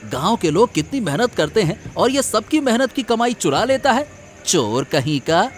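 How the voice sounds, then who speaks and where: A man's voice: high voice, sing-song, moderate pace, faint music behind. A man voicing an aggressive village mob leader in a cartoon, abusive and commanding. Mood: angry accusing. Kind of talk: Hindi moral-story cartoon voice acting.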